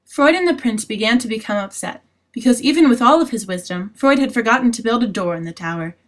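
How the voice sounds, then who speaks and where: A woman's voice: fairly high pitch, expressive, fast talker. A woman reading scripted storybook narration for a fairytale parody.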